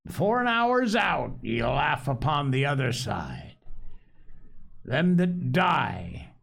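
A man's voice: medium pitch, sing-song, slow pace, gravelly, fairly bright tone, full character voice. A man voicing a furious one-legged pirate who roars threats. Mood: roaring, furious. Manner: roaring, threatening.

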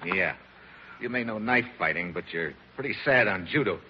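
A man's voice: low-pitched, animated, normal speed, slightly rough. A man playing a wry, tough, unflappable American secret agent with an American accent and wisecracking, slangy manner in a 1940s radio drama. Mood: curt, triumphant. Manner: hard-boiled, slangy, wisecracking. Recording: dull, noisy old radio recording.

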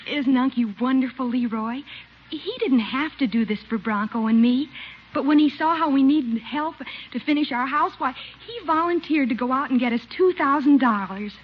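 A woman's voice: high-pitched, normal speed, close to an everyday voice. An actress playing a sweet, eager young married mother with an earnest, girlish manner in a 1950s radio comedy. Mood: eager, admiring. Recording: muffled, noisy vintage radio recording.

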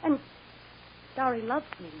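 Anxious high-voiced jailed woman. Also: expressive, measured pace. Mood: defensive, earnest. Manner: earnest, emotional, pleading. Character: anxious, devoted jailed woman awaiting trial. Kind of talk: 1940s-50s radio drama acting. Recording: muffled, noisy old radio recording.

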